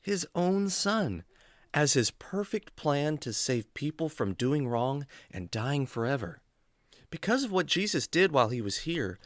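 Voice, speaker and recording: A man acting as a lively, kindly adventurer mentor. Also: on the high side, dry recording. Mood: solemn, earnest. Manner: gentle, earnest, explanatory. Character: kindly adventurer man, patient storytelling mentor to animal buddy. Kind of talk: children's radio drama acting.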